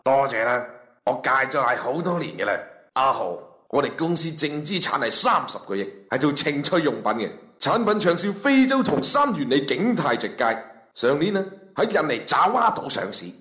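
A man's voice: fairly high pitch, sing-song, moderate pace, bright, forceful tone. A man with a Cantonese accent playing a stern, lecturing job interviewer in a comedy radio drama. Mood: brisk, official. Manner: lecturing, challenging. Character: stern, scolding male company job interviewer. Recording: roomy, echoing sound.

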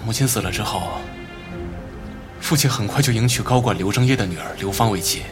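An actor playing a guarded, grieving son in a Chinese audio drama, speaking in a measured, reflective way. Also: male voice, on the low side, natural voice. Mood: somber, reflective. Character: grieving, guarded adult son of a wealthy family. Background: prominent background music.

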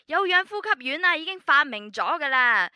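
Actress as bright, high-voiced teasing young woman. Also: very animated, normal speed, no room sound, clean studio sound, full character voice. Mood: playful, teasing. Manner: playful, coaxing, teasing. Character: bubbly, teasing young female time agent, junior colleague. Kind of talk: Cantonese sci-fi radio drama dialogue.

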